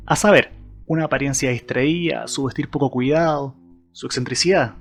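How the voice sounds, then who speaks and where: A man with a Chilean accent, hosting his channel with scripted video essay narration addressed to viewers. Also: medium pitch. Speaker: YouTube channel host.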